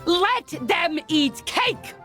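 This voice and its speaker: Very high-pitched spoiled teen princess. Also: female voice, lively intonation, very slow, a bit bright, faint music behind, heavily acted. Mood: haughty. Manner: dramatic valley-girl teen talk. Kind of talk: cartoon video game acting.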